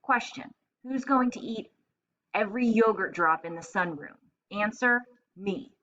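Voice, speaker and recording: A woman voicing a self-satisfied, excitable little male hamster telling his diary in a children's storybook. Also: mid-range voice, unhurried, highs cut off, slight background noise. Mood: confident, scheming. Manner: self-satisfied, exclamatory, asks and answers himself.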